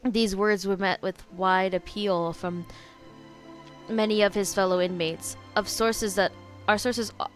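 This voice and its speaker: A woman streamer reacting casually to a video game, informal and opinionated, reading text aloud with some stumbles. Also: mid-range voice.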